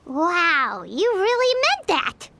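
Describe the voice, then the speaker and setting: A woman voicing a tiny, childlike, excitable fairy companion in a video game, with a squeaky voice and exclamatory manner. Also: takes it slowly, long reverb tail, slight background noise, heavily acted. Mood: excited. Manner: refers to self in third person, exclamatory.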